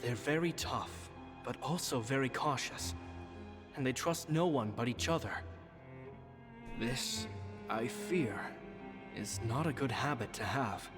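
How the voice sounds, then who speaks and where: Musing magician in long reverb. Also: male voice, medium pitch, expressive, slow, pauses often. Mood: wistful, concerned. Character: reflective, fretful male stage magician reading his journal. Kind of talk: dramatic game narration. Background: music.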